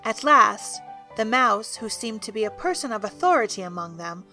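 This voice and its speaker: Female storybook narrator for audio drama, bright and clear-cut tone, light music underneath. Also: on the high side, lively intonation, normal speed.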